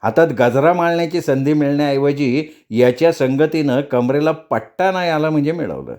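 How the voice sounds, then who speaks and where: A man's voice: on the high side, animated, moderate pace, a little mellow, lightly acted. A man voicing a greedy, scheming, freeloading neighbour who wheedles and mutters asides in a comic story. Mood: disappointed.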